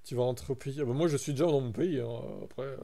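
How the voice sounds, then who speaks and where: A man's voice: mid-range voice, fast talker, dark tone. A young man from France chatting casually on a live stream, reacting to his chat and reading text aloud.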